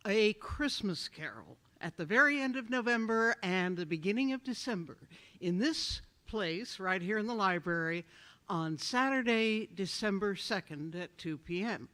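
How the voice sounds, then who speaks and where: An announcer reading a scripted theater promotion in a measured delivery. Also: medium pitch, sharp tone.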